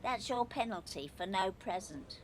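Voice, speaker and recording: A woman's voice: mid-range voice, expressive, moderate pace, a little hiss or hum, lightly acted, rough recording. An actress playing a pushy, teasing young girlfriend in an audio play, blunt and demanding.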